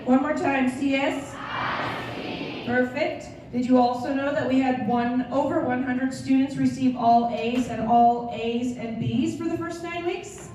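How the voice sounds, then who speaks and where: A woman's voice: sits high, steady tone, unhurried, noisy background. A woman on staff leading a school assembly, upbeat and cheering the students on. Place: large school gym full of seated students. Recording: echoey gym from far off.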